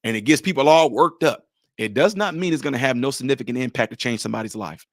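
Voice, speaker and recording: A man giving animated casual commentary on a video clip, with pointed rhetorical questions. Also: medium pitch, fast, dry recording, clean studio sound. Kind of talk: casual live-stream commentary.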